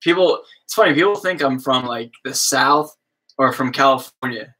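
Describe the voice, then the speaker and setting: A young man from the US East Coast with a slow drawl, chatting casually with viewers on his livestream and padding his talk with fillers. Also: medium pitch, bright, forceful tone, breathy edge. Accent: American East Coast. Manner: slow drawl, lots of fillers like "you know what I mean". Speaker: livestream host, musician.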